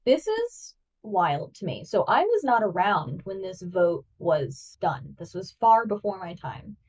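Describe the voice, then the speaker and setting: A woman's voice: lowish voice, sing-song, unhurried, darkish tone, slightly rough, distant mic, poor audio. Chatty woman sitting back from the mic. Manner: chatty, says "like" often. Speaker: podcast co-host. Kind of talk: casual podcast chat. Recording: voice a bit off-mic.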